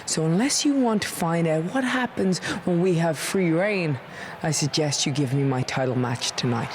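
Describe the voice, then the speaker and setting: A woman's voice: deep voice, animated, normal speed, background noise. A woman playing a cocky, threatening female wrestler and gang leader in a video game, with a swaggering, taunting manner.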